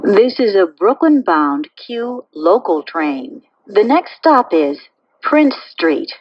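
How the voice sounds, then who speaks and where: A woman making pre-recorded subway train announcements in a measured, formulaic way. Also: medium pitch, very animated, no room sound, muffled recording. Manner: measured, clearly phrased, formulaic delivery.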